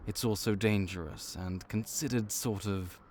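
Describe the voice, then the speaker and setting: A man's voice: on the low side, steady tone, normal speed, slight background noise, lightly acted. A man voicing a laid-back vampire clerk and mentor in an audio roleplay, dry and wry as he speaks to the listener. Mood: easygoing.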